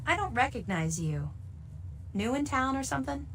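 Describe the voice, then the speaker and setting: Brisk, forward townswoman. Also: sits high, sing-song, a bit bright, a little hiss or hum, full character voice. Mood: curious, direct. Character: brisk, forward woman townsperson seeking a courier. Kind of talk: video game dialogue.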